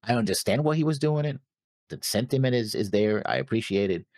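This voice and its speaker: A man's voice: on the low side, expressive, fairly quick, a little mellow, dry recording. A man co-hosting a reaction show in casual chat, rambling and thinking aloud as he talks. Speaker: co-host of an anime reaction show.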